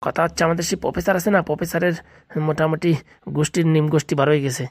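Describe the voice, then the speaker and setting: A woman voicing an irritable, bossy male thief in a comedy cartoon, grumbling and scolding in rough slang. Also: low voice, steady tone, racing, no room sound, studio quality.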